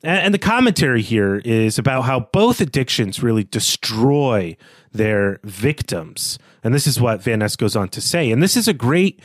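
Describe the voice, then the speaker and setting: Male podcast co-host, mid-pitched, reflective and analytical, discussing books in a very dry room. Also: big pitch swings, moderate pace, no room sound.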